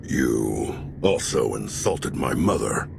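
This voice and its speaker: An actor playing a gruff, menacing ex-soldier smuggler boss in a video game cutscene. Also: male voice, a bass voice, lively intonation, takes it slowly, noisy background, exaggerated voice. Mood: cool menace.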